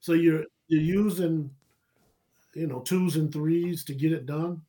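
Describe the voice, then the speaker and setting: A man's voice: fairly high pitch, steady tone, measured pace, warm tone. A man, chatting casually about sports, rambling and laughing often. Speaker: podcast guest.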